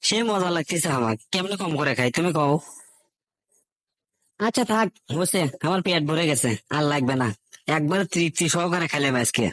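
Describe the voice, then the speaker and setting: Comic cartoon husband, male actor, food-loving village joker, northern Bangladeshi Bengali accent, forceful bright tone, close dry sound. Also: on the high side, dry recording. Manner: folksy, exclaiming, teasing.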